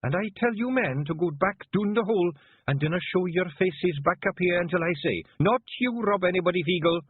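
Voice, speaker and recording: A man voicing a tiny, fierce fairy clan matriarch with a broad Scottish accent and a sharp, commanding delivery. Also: high voice, animated, measured pace, husky, dry recording, pitched above the performer's own voice, full character voice. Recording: phone-like narrow band.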